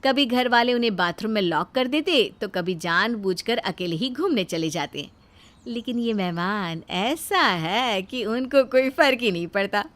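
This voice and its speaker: A woman's voice: mid-range voice, lively intonation, unhurried, a bit bright. A woman reading a scripted family story as its narrator, in a storytelling style.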